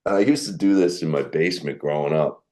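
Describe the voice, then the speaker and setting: A middle-aged man with a deep voice, a comedian telling animated stories in a podcast interview. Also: brisk. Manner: animated storyteller who acts out quoted people. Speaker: guest comedian.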